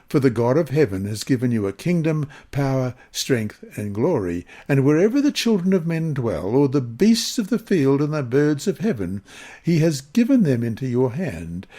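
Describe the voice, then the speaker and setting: A man reads a Bible lesson aloud. His delivery is solemn and measured, with deliberate phrasing, in a voice of medium pitch. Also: dark tone. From Queensland, Australia. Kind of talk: scripted scripture reading and narration.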